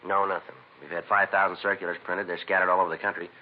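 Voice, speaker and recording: Terse, dogged detective male actor, American, very low and brisk, clipped delivery, on a dull, noisy vintage radio recording. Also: natural voice. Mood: weary, dogged. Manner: deadpan, clipped, matter-of-fact. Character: terse, dogged male police detective sergeant, dry and businesslike. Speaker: detective and narrator. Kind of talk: 1950s radio crime drama acting, clipped narration.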